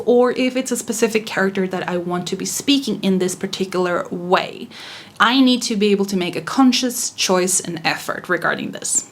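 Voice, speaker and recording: Swedish-accented woman giving frank writing advice. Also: mid-range voice. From Sweden. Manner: frank, chatty, laughs often, casual swearing. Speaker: writing vlogger. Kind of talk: casual advice vlog.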